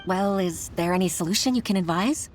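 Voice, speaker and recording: An actress playing an earnest, flustered young junior clerk in a video game, hesitant and deferential in manner. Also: female voice, on the low side, a little fast, slight background noise, close to an everyday voice. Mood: troubled.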